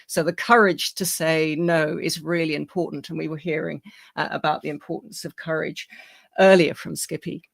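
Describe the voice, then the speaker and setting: Croaky woman lecturing, lowish gravelly voice, wry and lively, lots of uh's. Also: normal speed. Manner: lively, wry, with frequent fillers and restarts. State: croaky voice recovering from illness. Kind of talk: public lecture on philosophy.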